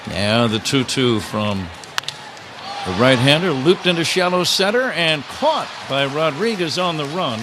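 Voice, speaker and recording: Animated male baseball announcer over ballpark noise. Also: a little high, unhurried, crowd noise. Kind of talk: live radio sports commentary with casual banter. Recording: clear ballpark noise behind. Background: outdoor stadium ambience.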